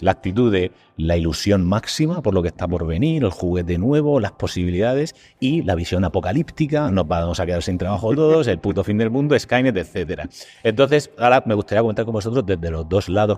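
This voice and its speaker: Casting: male interviewer with a Spain accent, deep register, rapid delivery, informal conversational style. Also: a little mellow. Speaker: podcast host. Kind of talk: casual interview chat.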